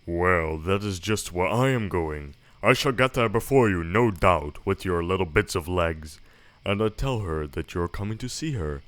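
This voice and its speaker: A man's voice: sits low, expressive, normal speed, dry recording. A man voicing a sly, hungry male wolf in a storybook, crafty and wheedling. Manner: crafty, wheedling, rising to loud growls. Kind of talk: storybook read-aloud.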